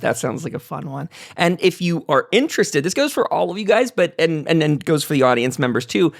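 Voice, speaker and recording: A man hosting a gaming livestream round table in a talkative, informal, animated way. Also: a little high, vocal fry.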